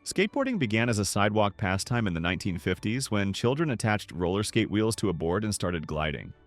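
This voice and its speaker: A man reading scripted documentary narration in a measured, polished storytelling manner. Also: on the low side, big pitch swings, soft background music.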